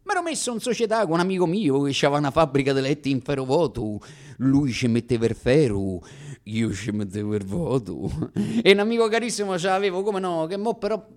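An actor playing a boastful Roman street bully in a comic theatrical monologue, with a thick Roman accent and a swaggering, declamatory slangy delivery. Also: male voice, a little high, expressive, brisk, lightly acted. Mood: boastful storytelling. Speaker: stage actor performing a one-man radio play.

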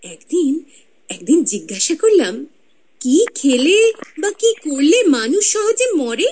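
A woman's voice: sits high, sing-song, slow, darkish tone, slight background noise, full character voice. An actress playing the ghost of a proud young woman, telling her life story in a radio drama with intimate, teasing narration. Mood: musing.

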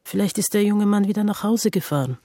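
A man's voice: high voice, quick pace, warm tone, no echo, clean studio sound, voice pushed up. A man voicing the anxious, defensive mother of a teenage girl in a radio drama. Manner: anxious and pleading.

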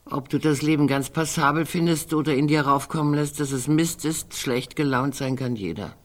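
An actress playing a sardonic, gloomy elderly woman in a German radio drama, husky and bitingly dry in her delivery. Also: deep voice, normal speed. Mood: wry, gloomy. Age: older.

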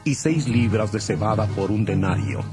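A man voicing a solemn unseen voice from heaven in a dramatized audio Bible, proclaiming in a measured way. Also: fairly low pitch, lively intonation, close to an everyday voice. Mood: solemn decree. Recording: loud music behind.

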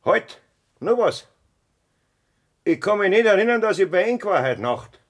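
An actor with a Bavarian accent playing a cruel, scheming farmer husband in a 1970s radio play, with a gravelly, husky voice. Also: male voice, high-pitched, big pitch swings, normal speed. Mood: menacing.

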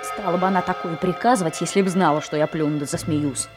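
A woman's voice: lowish voice, steady tone, fast, over music, natural voice. A woman playing an earnest, stubborn schoolboy in a Soviet children's radio play, talking in an insistent, conspiratorial way. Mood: secretive, determined.

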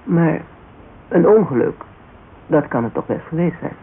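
An actress playing a cautious, sceptical female private detective in a conversational Dutch radio drama, measured and probing. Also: low voice, animated. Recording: narrow, faintly noisy old radio broadcast.

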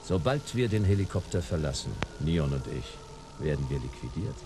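An actor playing a cool, sardonic detective hero in a German sci-fi radio play, dry, terse and commanding. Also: male voice, very deep voice, sing-song, normal speed, background noise.

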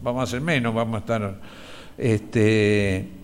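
Clear-voiced Argentine man recalling in halting phrases. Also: lowish voice, fairly even intonation. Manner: halting, reflective, repeating words. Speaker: interviewee, relative of a disappeared person. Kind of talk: personal testimony, conversational recollection.

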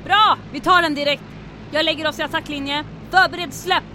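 A woman's voice: fairly even intonation, bright, forceful tone. A woman acting a bold, commanding, unflappable aeroplane captain in an adventure radio drama. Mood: brisk, commanding. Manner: brisk, commanding, confident. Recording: aircraft engine rumble.

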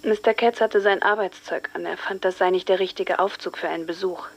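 Shaken, halting teenage girl. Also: on the low side, steady tone. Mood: uneasy, subdued. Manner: hesitant, halting, hushed. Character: shaken teenage girl recalling finding her murdered friend. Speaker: actor in a radio drama. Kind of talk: dramatised witness testimony in a German radio play.

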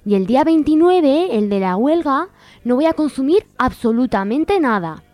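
A woman's voice: sits high, lively intonation, normal speed, a little mellow, no room sound, faint music behind. A woman playing a naive, indignant posh young woman in a parody advice letter, with affected, melodramatic talk. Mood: snobbish, dismayed.

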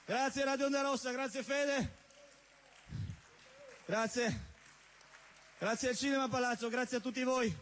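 Very high-pitched man declaiming spoken-word poetry. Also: steady tone, measured pace, long pauses, fairly bright tone, a little hiss or hum. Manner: declamatory, insistent repetition, irreverent and emphatic. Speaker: performance poet. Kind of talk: theatrical spoken-word poetry performance on stage. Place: live on stage at a cultural venue event.

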